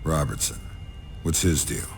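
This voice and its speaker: Game lead: grim veteran spy, extremely low voice, clipped and dry, music beneath. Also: male voice, measured pace, creaky, full character voice. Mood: cool menace. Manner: terse, dry, controlled. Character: grim, terse veteran male spy, coolly menacing. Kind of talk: video game cutscene acting. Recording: music behind the dialogue.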